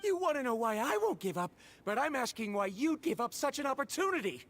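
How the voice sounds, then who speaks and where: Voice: sits high, big pitch swings, a little fast, a bit bright, slight background noise. An actor playing a stubborn, proud young male adventurer, intense and defiant, in an anime-style video game. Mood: insistent.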